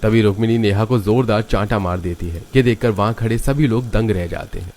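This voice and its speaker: गहरी आवाज़ वाला पुरुष कथावाचक. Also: male voice, fairly low pitch, brisk, a little mellow. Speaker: narrator. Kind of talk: scripted story narration.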